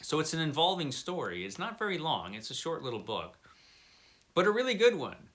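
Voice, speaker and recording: A man's voice: a little high, huge pitch swings, brisk, fairly bright tone. A man hosting a book vlog, talking in a casual, chatty review style with wry, playful asides.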